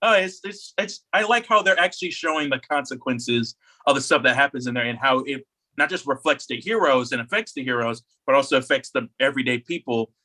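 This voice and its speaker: A man chatting casually as a podcast co-host, rambling in an animated way and acting out imagined remarks. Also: mid-range voice, fairly quick.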